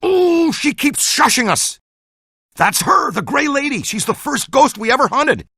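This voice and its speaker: An excitable ghost-hunting scientist for a video game. The voice is bright and forceful, with huge swings in pitch. He is eager and exclamatory, rattling off jargon and orders in a dry studio sound. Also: unhurried, dry recording, exaggerated voice. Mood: excited. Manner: animated, exclamatory, jargon-laden. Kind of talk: animated video game voice acting.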